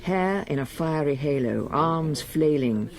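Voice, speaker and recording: A man giving measured, scripted literary narration as storyteller of a period radio drama. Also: a little high, animated. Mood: measured, evocative.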